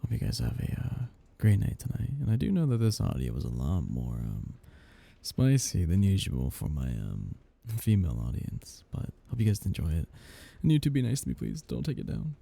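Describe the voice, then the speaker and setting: A man with a husky, gravelly voice, an ASMR performer talking casually to his listeners. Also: deep voice, wildly swooping pitch, normal speed, warm tone, no echo.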